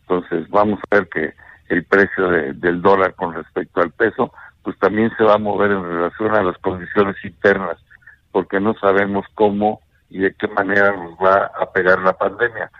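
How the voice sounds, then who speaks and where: An older man with a Mexican accent, a bank executive giving measured investment advice on a radio interview, often ending points with a questioning tag. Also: low-pitched, little pitch movement, no echo, lo-fi sound. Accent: Mexican Spanish.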